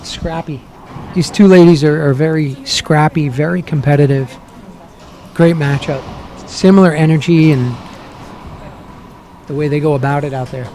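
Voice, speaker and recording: A man commentating live on a racquetball match, loud and chatty, breaking down each rally. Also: on the high side, steady tone, moderate pace, pauses often, slight background noise. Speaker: color commentator.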